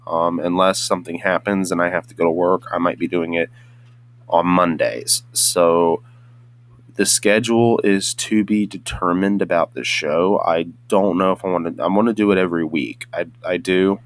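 A young man with a very low voice talks through a solo podcast episode. He sounds hoarse and congested, as if he has just woken up. He rambles, restarting sentences and filling gaps with ums and uhs. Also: moderate pace, slight background noise. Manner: rambling, with many restarts and hesitant fillers. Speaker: podcast host. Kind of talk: casual solo podcast monologue.

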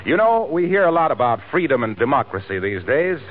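Animated old-time radio announcer man, tinny sound. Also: medium pitch, a little fast, soft background music. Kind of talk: 1940s radio announcing and sponsor pitch. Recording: old narrow-band radio broadcast.

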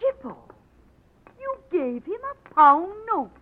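A woman's voice: sits high, very animated, slow pace, heavily acted. An actress playing a poor, fond Irish woman with an Irish accent, pleading and teasing her man, in a 1940s radio drama. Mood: anxious. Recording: tinny narrow old radio broadcast.

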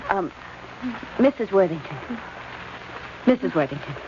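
A woman's voice: fairly low pitch, animated, unhurried, warm tone. An actress playing an anxious, kindly young woman, a detective's sidekick, in a 1940s radio drama. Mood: flustered. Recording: dull, hissy old radio recording.

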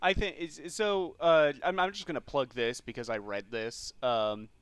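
Clear, dry-sounding man talking casually. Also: medium pitch, fairly even intonation, normal speed, a bit bright, no room sound. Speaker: podcast co-host. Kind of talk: casual film and game discussion.

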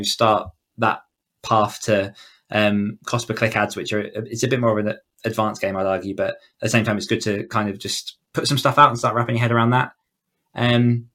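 A man's voice: mid-range voice, fairly bright tone. A man speaking as a guest author and writing coach, explaining things conversationally and often rephrasing as he goes.

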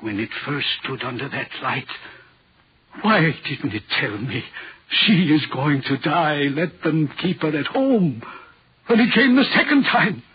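A man's voice: fairly high pitch, animated, a little slow, slightly rough, full character voice. An actor playing a haunted, anguished railway signalman in a brooding, dramatic 1940s radio horror play style. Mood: anguished, desperate. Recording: muffled 1940s radio recording.

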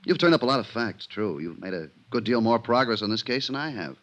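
A man acting a weary, level-headed American police inspector in a 1950s radio drama, measured and reflective. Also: medium pitch, lively intonation, fairly bright tone, lo-fi sound, natural voice. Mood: persuasive, emphatic. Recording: noisy 1950s radio recording.

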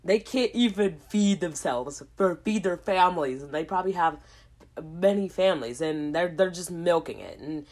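A woman hosting a casual solo podcast, hoarse from a cold, rambling in a slangy way with lots of asides. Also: lowish voice, normal speed, a little mellow. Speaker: podcast host.

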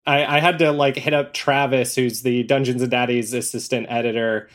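A man's voice: mid-range voice, flat delivery, moderate pace. A man telling stories casually as a podcast guest, animatedly acting out bits of remembered dialogue. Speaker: podcast guest, audio drama creator. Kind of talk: casual interview storytelling.

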